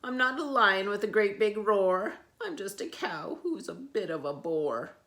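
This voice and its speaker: A woman's voice: mid-range voice, expressive, measured pace, fairly bright tone, voice pushed up. A woman voicing a sad, lonely cow in a storybook read-aloud, in a plaintive, pleading voice. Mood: glum. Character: sad, lonely female cow seeking a home.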